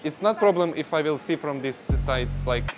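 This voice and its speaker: High-voiced man in halting English, music behind. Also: expressive. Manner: halting broken English, apologetic, giggly. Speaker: prankster host posing as a beginner gym cleaner. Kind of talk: casual prank chat with strangers. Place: busy gym, indoors.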